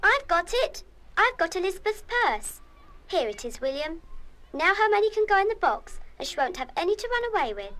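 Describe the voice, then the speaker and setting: A woman's voice: very high voice, big pitch swings, normal speed, fairly bright tone, full character voice. An actress playing a bossy, scornful young British schoolgirl in a radio play, with a snippy manner. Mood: triumphant. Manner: snippy, taunting. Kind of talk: full-cast radio play acting.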